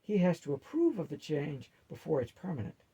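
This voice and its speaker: A man's voice: a little high, wildly swooping pitch, a little fast, mellow, breathy edge, full character voice. A man voicing a sensible, businesslike undead male team leader in a matter-of-fact way for a storybook. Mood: firm.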